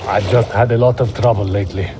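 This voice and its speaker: A man's voice: fairly low pitch, fairly even intonation, fast, noisy background, natural voice. An actor playing a groggy, self-pitying drunk male slave owner who talks in a slurred, rambling way.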